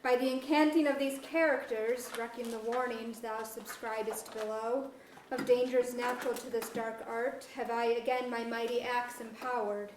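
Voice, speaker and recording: A woman's voice: fairly high pitch, steady tone, normal speed, natural voice. A woman acting a proud, embattled sorcerer monarch in a staged play reading, speaking haughty, formal archaic verse. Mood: brooding. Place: museum auditorium. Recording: echoey auditorium, distant mic, faint noise.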